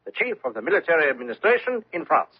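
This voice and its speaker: An actor playing an officious, accusing German army lieutenant in an old-time radio drama, speaking insistently. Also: male voice, a little high, very animated, quick pace, slightly rough, exaggerated voice. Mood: stern, official. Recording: dull old radio recording.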